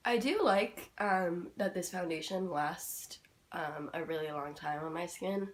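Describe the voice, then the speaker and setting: A sleepy young woman with a slightly raspy, lowish voice talks through her makeup routine in her bedroom. She rambles casually, filling gaps with "like" and "um", sounding low on energy. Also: a little slow. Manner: chatty, rambling, full of "like" and "um". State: tired, just woken up. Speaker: beauty vlogger. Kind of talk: casual makeup tutorial chat.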